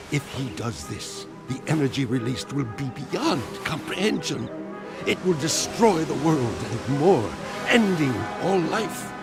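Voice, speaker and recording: Gravelly, earnest young sage. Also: male voice, medium pitch. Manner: earnest, dramatic. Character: earnest, anxious young male plant-loving sage. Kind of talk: video game cartoon voice acting.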